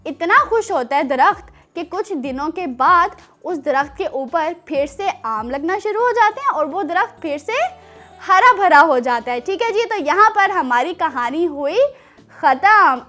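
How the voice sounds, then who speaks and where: A woman's voice: very high-pitched, lively intonation, unhurried, bright, forceful tone, soft background music. A young woman with a Pakistani accent, presenting and telling stories expressively as host of a children's show. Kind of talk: animated storytelling and presenting for children.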